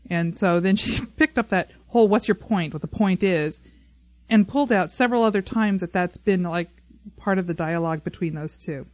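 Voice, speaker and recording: A woman's voice: on the low side, moderate pace, dry recording. A woman co-hosting a casual fan podcast, chatty and rambling as she talks. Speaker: podcast co-host. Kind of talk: casual fan discussion of a TV show.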